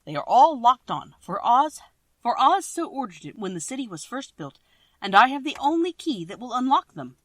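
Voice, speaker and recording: A stern little green gatekeeper of a storybook city, as a woman reader puts him on, speaking loudly and solemnly in a raised, lifted voice. The warnings swing up and down in pitch. The sound is dry and close. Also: big pitch swings, normal speed, no room sound.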